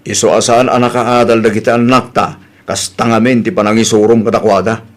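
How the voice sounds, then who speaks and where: A man's voice: on the low side, little pitch movement, a little fast, natural voice. A man with an Ilocano accent playing a blunt, exasperated husband who chides his wife in a comedy drama. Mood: chiding.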